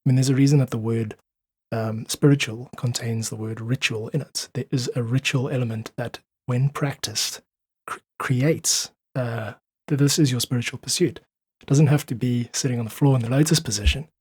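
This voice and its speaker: A man with a husky voice, recorded close and dry. He talks casually but reflectively, as a musician guest who leans earnestly on his points. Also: medium pitch, steady tone, normal speed, darkish tone, dry recording. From South Africa. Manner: earnest, philosophical, emphatic repetition. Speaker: podcast guest, independent musician.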